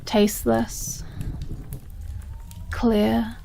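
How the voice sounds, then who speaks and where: A woman with a British accent playing an obsessive, possessive, unstable stepsister in a dramatic audio roleplay, speaking haltingly with heavy pauses. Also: fairly high pitch, completely flat delivery, creaky, dark tone, a little hiss or hum, full character voice. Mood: cold, menacing.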